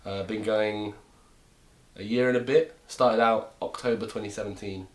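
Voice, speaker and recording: A man's voice: lowish voice, fairly even intonation, normal speed. A man in his twenties from Romania, a comedian guest chatting casually and joking in a rambling way. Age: 20s. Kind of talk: casual chat.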